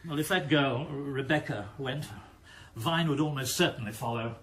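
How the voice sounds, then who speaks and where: An actor playing a principled, anxious police chief in a radio drama, speaking formally and with earnest indignation. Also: male voice, mid-range voice, normal speed, a bit bright, a little hiss or hum, natural voice. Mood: anxious, urgent. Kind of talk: 1990s radio drama acting.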